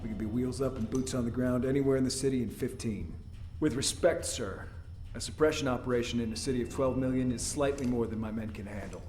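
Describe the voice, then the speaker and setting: An actor playing a confident, respectful army captain in a video game, speaking in a formal military manner. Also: male voice, mid-range voice, fast talker, few pauses, a little mellow, slight background noise, natural voice. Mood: dutiful. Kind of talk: video game cutscene acting. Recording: echoey room, music behind.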